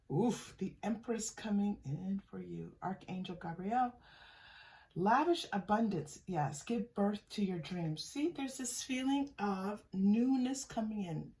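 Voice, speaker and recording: A woman giving a pick-a-card tarot reading in a soft, unhurried, musing way, often trailing off and restarting, and thanking unseen guides. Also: medium pitch, recorded from a distance. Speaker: tarot reader.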